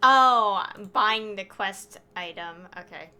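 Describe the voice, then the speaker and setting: A woman's voice: mid-range voice, slow pace, bright, forceful tone, clear, resonant tone. A woman streaming a game with casual, rambling, chatty, giggly commentary.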